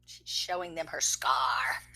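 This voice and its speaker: A woman reading a picture book aloud to children, expressive, with soft whispered asides between the verses. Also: very deep voice, takes it slowly, rough recording. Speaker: children's story reader.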